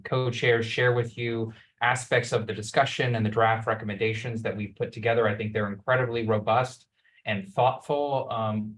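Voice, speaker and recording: A man's voice: on the low side, a bit bright. A man speaking as a working group co-chair in an online meeting, earnest and listing points with frequent ums. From United States. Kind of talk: opening remarks in an online meeting.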